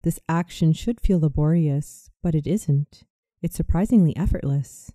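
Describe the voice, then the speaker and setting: A narrator guiding a scripted visualization meditation in a soothing, measured way. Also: mid-range voice, mellow, dry recording, studio quality. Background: music.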